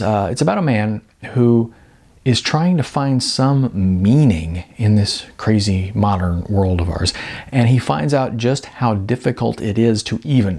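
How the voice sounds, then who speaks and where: A man's voice: lowish voice, vocal fry, a little mellow, husky. A man narrating as an author, reading from his own fiction. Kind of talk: scripted audiobook-style narration.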